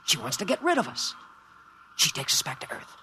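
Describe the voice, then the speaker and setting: Gravelly, bright, scheming spaceship crewman. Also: a little high, huge pitch swings, a little hiss or hum, full character voice. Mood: scheming excitement. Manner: conspiratorial, eager, halting. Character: scheming, excitable crewman hatching an escape plan. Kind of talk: 1950s radio drama acting.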